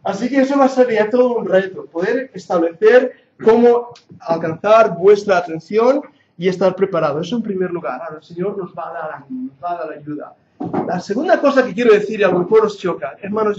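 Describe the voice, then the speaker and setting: A man's voice: high-pitched, darkish tone. A man in his forties from Catalonia with a Catalan accent mixed with English, giving an earnest, jokey Bible class that rises to emphatic preaching. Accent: Catalan Spanish mixed with English. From Catalonia, Spain. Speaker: Bible teacher. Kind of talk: classroom lecture, sermon-like. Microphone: handheld or lapel mic. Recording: muffled echoey hall recording.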